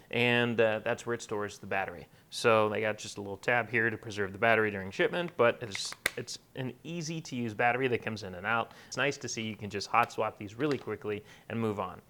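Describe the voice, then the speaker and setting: Guy doing a casual tech unboxing, lowish voice w a bright crisp edge, chatty explainer style. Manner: conversational, explanatory. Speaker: tech reviewer.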